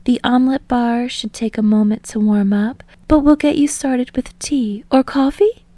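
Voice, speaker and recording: A woman's voice: a little high, steady tone, moderate pace, dark tone, no echo, voice pushed up, close to an everyday voice. A woman voicing a wealthy, polite young lady who plays the eager, welcoming hostess in an audiobook story. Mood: gracious, welcoming.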